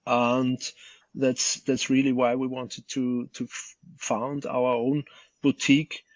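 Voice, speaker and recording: A man with an Austrian accent, a guest being interviewed, speaking haltingly with many repeated words and fillers. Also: mid-range voice, flat delivery, no room sound, lo-fi sound. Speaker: podcast guest, fund manager. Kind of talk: unscripted interview answer.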